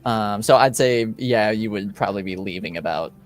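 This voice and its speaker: A man narrating a tabletop roleplaying game as game master, improvising in hesitant, halting phrases. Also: lowish voice, faint music behind.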